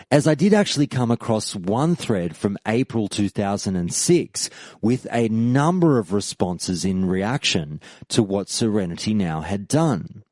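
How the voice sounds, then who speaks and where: A man's voice: lowish voice, a little mellow, no room sound. A man hosting a podcast and reading a scripted history segment with emphatic delivery and rising questions. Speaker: podcast host.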